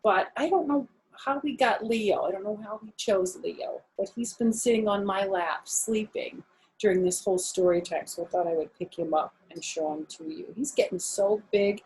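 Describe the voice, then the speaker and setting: A woman's voice: medium pitch, normal speed, darkish tone, a little hiss or hum, rough recording. An older woman, a grandmother, reading a picture book aloud and plainly explaining things to young listeners. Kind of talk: storybook read-aloud with simple explanatory asides.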